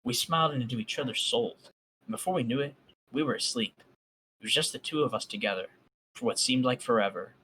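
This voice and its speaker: A man's voice: mid-range voice, fairly quick, fairly bright tone, slight background noise, rough recording. A man reading a fan story aloud on a voice call and breaking off for sarcastic, mocking asides. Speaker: fan fiction reader.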